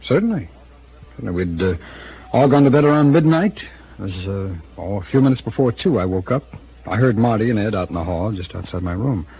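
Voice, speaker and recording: A man's voice: lowish voice, animated, fairly quick, husky, heavily acted. An actor playing a guarded, evasive male city attorney who hesitates and hints, in a 1950s radio drama. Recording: tinny lo-fi old radio broadcast with hiss.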